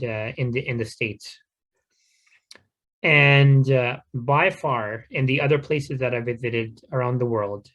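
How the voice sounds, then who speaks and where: A man giving an unscripted informational talk to a community group in an earnest, measured, explanatory way. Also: medium pitch, fairly bright tone. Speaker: guest speaker at a community talk.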